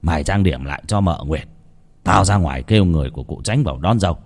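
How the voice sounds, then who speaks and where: Man doing a greedy cruel old father, snarling, harsh, low voice. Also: lively intonation, fast. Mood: menacing. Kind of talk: voiced part in a told ghost story.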